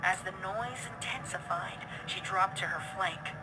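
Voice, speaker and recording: Woman narrating dark fiction over loud noise. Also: mid-range voice, unhurried. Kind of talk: dramatic scripted horror narration.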